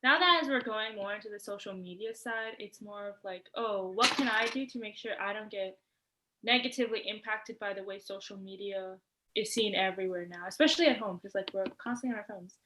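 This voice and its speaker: A woman's voice: mid-range voice, brisk, pushed and bright, slight background noise, low-quality recording. A teenage girl co-hosting a podcast, talking in a breezy way and laughing mid-sentence. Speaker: podcast co-host. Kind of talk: casual chat.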